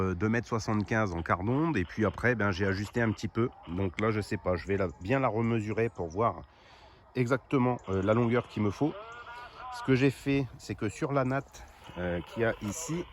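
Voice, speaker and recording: A man presenting gear in a casual, hands-on way as a vlogger, halting as he thinks aloud, recorded outdoors. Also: on the low side. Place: outdoors on high ground. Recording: noisy outdoor camera recording.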